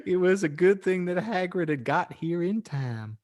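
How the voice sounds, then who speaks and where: A man's voice: sits high, expressive, normal speed, darkish tone, voice pushed up. A man voicing a pious, chirpy housewife who gushes earnestly as she reads out her moralizing fan fiction as a storybook. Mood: earnest, dramatic. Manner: gushing, earnest, exaggerated emphasis. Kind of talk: dramatic storybook narration.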